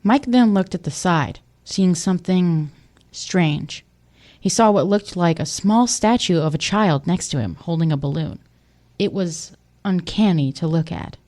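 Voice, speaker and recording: Female narrator, scripted storytelling, lower-than-average pitch, audio drama. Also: measured pace, mellow.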